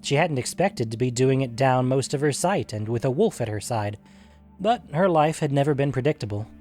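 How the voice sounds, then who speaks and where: A man's voice: medium pitch, expressive, a little fast, a little mellow. Man narrating a fantasy story over music.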